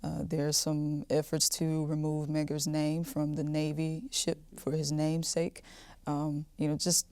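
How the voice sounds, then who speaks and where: Low, even-toned woman podcast host. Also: a little slow, dry recording. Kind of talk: conversational interviewing.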